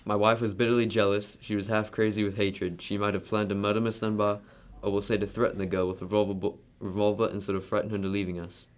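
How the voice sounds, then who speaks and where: A man's voice: fairly low pitch, little pitch movement, fast, hardly any pauses, lightly acted. A man playing a proud, defensive wealthy husband in an amateur radio drama, speaking bluntly. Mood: grave, explaining.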